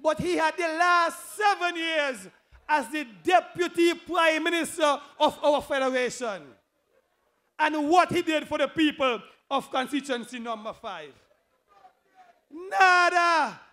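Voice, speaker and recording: A man with a Kittitian accent giving an emphatic, rousing political rally speech as a candidate, repeating phrases and raising them to shouts. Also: extremely high pitch, takes it slowly.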